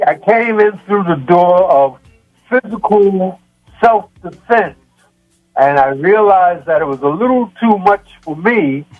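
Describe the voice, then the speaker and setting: A muffled recording with music behind it carries an older man of seventy-six, his voice a little high. He speaks slowly and reflectively, leaving long gaps between short phrases. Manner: halting, deliberate phrasing with long pauses. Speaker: guest, yoga practitioner. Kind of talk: reflective conversational talk.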